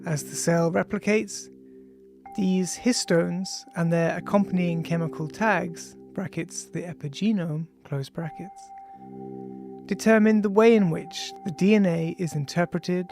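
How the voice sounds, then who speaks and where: Audiobook author, androgynous mid-pitched voice, measured science reading, clear music bed underneath. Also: fairly even intonation, a little mellow. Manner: measured, reads punctuation aloud. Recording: music behind the voice. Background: ambient music with bell-like tones.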